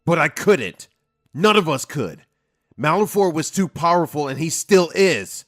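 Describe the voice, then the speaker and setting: A man playing a hot-headed, impulsive fairy guardian in a fan audio drama, arguing forcefully and emphatically. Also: on the high side, big pitch swings, unhurried. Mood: heated, pleading.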